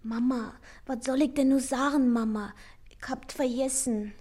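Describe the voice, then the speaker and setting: Radio play teenage girl: fairly high, soft-toned, timid and uncertain, dependent on her mother. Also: steady tone, unhurried. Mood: anxious, unsure.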